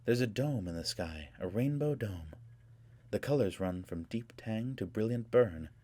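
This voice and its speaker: A man voicing a haughty, rapturous young noblewoman in an audiobook, with a soft, husky voice and a languid, taunting delivery. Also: fairly low pitch, animated, no echo. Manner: theatrical, languid, taunting, with drawn-out words.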